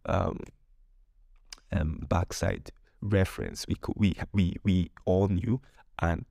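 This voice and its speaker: Deep, gravelly male podcast host. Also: steady tone, slow, warm tone, dry recording, studio quality. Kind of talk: casual humorous storytelling podcast monologue.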